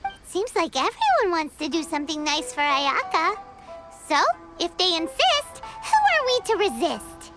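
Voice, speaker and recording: A woman voicing a tiny, excitable fairy companion who chirps and talks about herself in the third person, in a game cutscene. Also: very high-pitched, moderate pace. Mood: cheerful. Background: loud game music.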